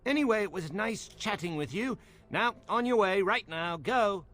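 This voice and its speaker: A man's voice: high-pitched, lively intonation, moderate pace, fairly bright tone. A man playing an officious, smug young templar guard in a fantasy game, blustering and sarcastic. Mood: officious, mocking.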